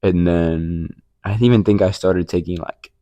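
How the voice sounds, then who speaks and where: A man's voice: sits low, steady tone, unhurried, dark tone, no room sound, lo-fi sound. A young man from Rico, sharing his faith story in a rambling casual chat full of fillers and restarts. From Puerto Rico. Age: young adult. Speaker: podcast co-host.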